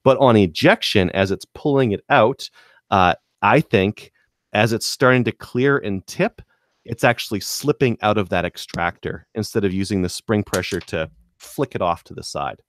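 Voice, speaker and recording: A man's voice: on the low side, moderate pace, no room sound. A man talking casually as a podcast co-host, explaining things in stop-start phrases. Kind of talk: casual gun-hobby chat.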